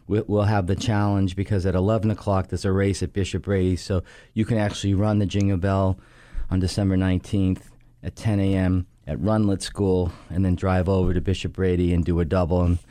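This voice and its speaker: A man's voice: sits low, little pitch movement, a little fast, warm tone, dry recording. A middle-aged man hosting a casual radio talk show, rambling with many fillers and restarts. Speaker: radio show host and company head. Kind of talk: casual radio chat and interview. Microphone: studio microphone.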